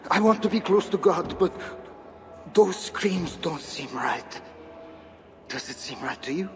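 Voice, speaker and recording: An actor playing men of a medieval church in a video game cutscene. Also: male voice, high voice, expressive, a little slow, slight background noise. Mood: nervous.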